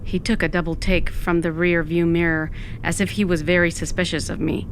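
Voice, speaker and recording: Woman acting a wry undead goth narrator, lowish voice. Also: level tone, fairly quick, slight background noise, close to an everyday voice, rough recording. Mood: wry storytelling. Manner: wry, dramatic storytelling. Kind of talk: first-person comic audio fiction narration.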